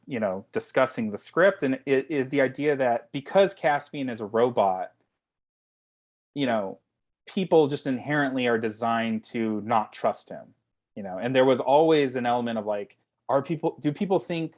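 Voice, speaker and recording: A man talking casually and emphatically as a writer-director on a video call Q&A, swearing freely and peppering his talk with "like". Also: mid-range voice. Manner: informal, sweary, emphatic, says "like" often. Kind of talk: casual panel Q&A chat.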